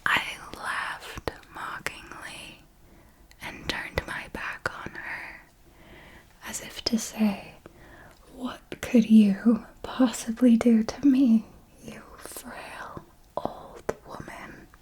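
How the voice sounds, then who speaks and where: A woman playing an ancient, refined female vampire mentor in an ASMR roleplay, telling her story in a deliberate, theatrical and elegant manner. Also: on the high side, little pitch movement, a little mellow, rough recording. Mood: wistful, elegant menace. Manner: slow, deliberate, theatrical, elegant old-fashioned phrasing.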